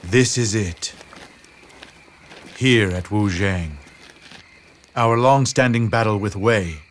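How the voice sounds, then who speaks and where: An actor playing a solemn, resolute military adviser in a video game, speaking in measured phrases. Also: male voice, fairly low pitch, a little hiss or hum. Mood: solemn resolve. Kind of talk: dramatic video game cutscene acting.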